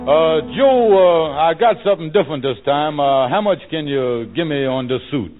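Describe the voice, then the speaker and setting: An actor playing a scheming, smooth-talking lodge leader in a folksy, wheedling, mock-solicitous manner, in 1940s radio comedy style. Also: male voice, a little high, normal speed, faint music behind, lightly acted. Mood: businesslike. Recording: narrow, tinny vintage radio recording.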